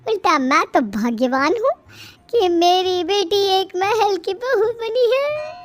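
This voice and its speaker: Woman playing a greedy, high-voiced scheming mother. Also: lively intonation, slow, no room sound, exaggerated voice. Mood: greedy, gloating. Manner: scheming, gloating to herself. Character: greedy, shameless middle-aged mother, a sly thief. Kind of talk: animated moral-story acting.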